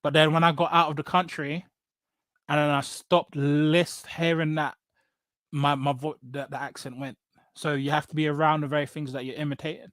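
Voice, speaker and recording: English man, higher-pitched voice, blunt delivery. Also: level tone, normal speed, fairly bright tone, no room sound. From England. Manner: blunt, emphatic, often repeats phrases and checks with "right?". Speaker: podcast guest. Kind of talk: casual personal storytelling in conversation.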